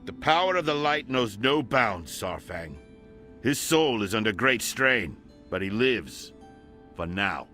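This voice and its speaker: An actor playing a stern, fervent veteran paladin commander in a fantasy video game, declaiming solemnly. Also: male voice, fairly low pitch, big pitch swings, slow, pushed and bright, soft background music, heavily acted. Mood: grave.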